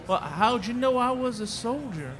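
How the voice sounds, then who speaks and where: A man's voice: very high-pitched, expressive, normal speed. A man playing a scrawny, naive drifter in a western radio drama, with a hesitant rustic drawl. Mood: meek, naive. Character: scrawny, simple-minded, meek male drifter, ex-Union soldier. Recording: live stage with audience noise.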